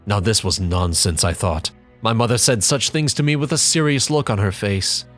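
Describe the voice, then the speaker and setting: A man giving a dramatic first-person reading, measured, bitter and reflective. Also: on the low side, a bit bright, faint music behind. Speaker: reader.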